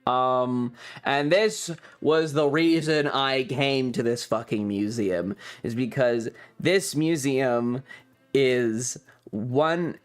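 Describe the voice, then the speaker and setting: A man streamer casually recounting a trip in a rambling, sweary, amused way, with music behind him. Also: mid-range voice.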